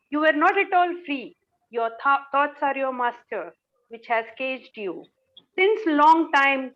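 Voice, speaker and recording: A woman speaking as a poet and co-host on an online call. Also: high-pitched. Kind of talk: informal hosting and poetry recitation. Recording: dull online call audio.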